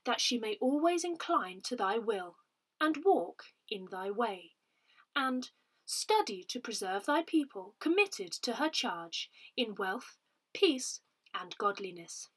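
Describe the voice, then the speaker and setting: A woman reading a newsletter aloud in a measured, expressive manner, as a volunteer narrator. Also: mid-range voice, husky. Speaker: volunteer reader of a parish newsletter.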